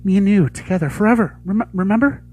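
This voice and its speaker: A man's voice: high-pitched, fast talker, a little mellow, husky, soft background music, voice pushed up. A man voicing a yearning, frightened elderly woman in an audition drama, with an emotional, pleading manner.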